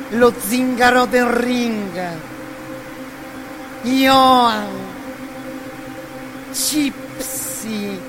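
A man playing a bombastic 1930s boxing ring announcer in a radio drama, with declamatory, drawn-out delivery. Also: extremely high pitch, vocal fry, voice pushed up, exaggerated voice, low-quality recording. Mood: theatrical, suspenseful. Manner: declamatory, drawn-out build-up to shouted names.

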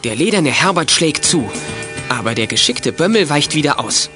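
A man's voice: fairly high pitch, lively intonation, normal speed, over music, close to an everyday voice. A man narrating a children's detective radio play with scripted, dramatic storytelling.

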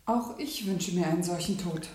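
An actress playing a grave, devoted and resolute woman revolutionary in a German radio play, speaking in a measured, earnest way. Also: fairly low pitch, a little mellow, close to an everyday voice. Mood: solemn. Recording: echoey, roomy radio play sound.

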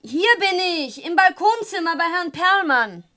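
An actress playing a practical, mildly sceptical grown daughter in a German radio play, speaking naturally, polite but brisk. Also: female voice, very high voice, big pitch swings, bright, forceful tone, heavily acted. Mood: impatient, brisk.

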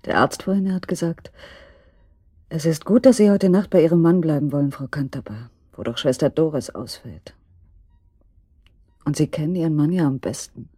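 An actress playing an anguished middle-aged wife on a night vigil at her husband's hospital bed, breathy, halting and brooding, in an intimate radio drama monologue. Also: low-pitched, mellow, no room sound, clean studio sound. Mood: bitter. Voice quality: breathy, often dropping to a whisper. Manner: halting, brooding, addressing someone who cannot hear.

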